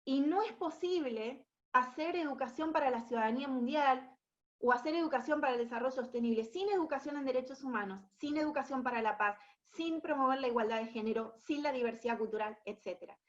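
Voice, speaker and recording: A woman in her thirties with an Argentine Spanish accent delivers an explanatory presentation, her voice sitting high. She talks in a searching way, often stopping to check in with her listeners and starting her phrases again. The recording sounds dull and slightly lo-fi. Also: fairly quick. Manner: explanatory, frequent tag questions and restarts. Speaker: UNESCO education specialist. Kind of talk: presentation talk.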